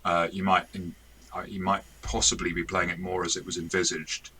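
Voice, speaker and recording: A man's voice: low voice, flat delivery, a little fast, a crowd in the background. A man, the game master and co-host, chatting casually and rambling in a self-correcting way as he reviews a game.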